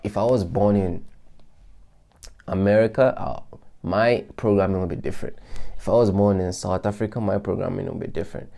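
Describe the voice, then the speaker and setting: A man with a very low, gravelly voice and a dark, mellow tone talks straight to his followers. He is reflective and loose, restarting his sentences and leaning on fillers as he thinks out loud. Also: fairly even intonation, brisk. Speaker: vlogger. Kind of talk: casual motivational talk to followers.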